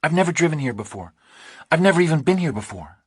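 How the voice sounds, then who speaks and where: A man's voice: on the high side, very animated, fast, breathy edge, no echo, clean studio sound, pitched above the performer's own voice. A man voicing an anxious, wary woman in a thriller audiobook, urgent and questioning.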